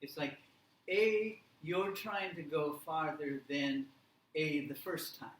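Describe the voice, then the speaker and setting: A man leads a class in a slightly high-pitched voice, speaking very slowly in short, simple directions. He repeats key steps and checks with his listeners. The room is echoey and he sounds some distance from the mic. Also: fairly even intonation, fairly bright tone, slight background noise. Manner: deliberate, simple phrasing with repeated instructions and check questions. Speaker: language teacher leading a workshop. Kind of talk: live classroom instruction with simple step-by-step directions. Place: indoor classroom or presentation room.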